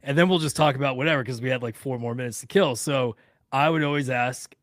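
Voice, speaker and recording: A man's voice: medium pitch, quick pace, no echo. Man telling casual stories, dry close sound. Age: 30s or 40s. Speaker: radio interviewer on a podcast panel. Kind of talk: casual anecdotal chat.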